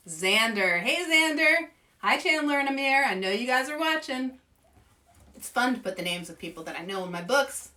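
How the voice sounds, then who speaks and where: A woman hosting story-time for children, reading aloud in a playful, expressive way and chatting with young viewers. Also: high-pitched, far from the mic. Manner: playful, expressive, asks listeners questions.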